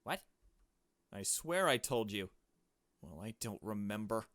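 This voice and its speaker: A man's voice: lowish voice, big pitch swings, measured pace, a bit bright, no echo. A man playing an exasperated, dismissive male relative in a visual novel, impatient and curt.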